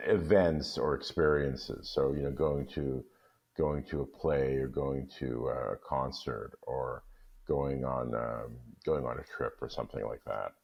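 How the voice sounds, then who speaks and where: A man, a guest reader on a radio discussion show, who talks haltingly with many restarts. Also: extremely low pitch, creaky, breathy edge, lo-fi sound.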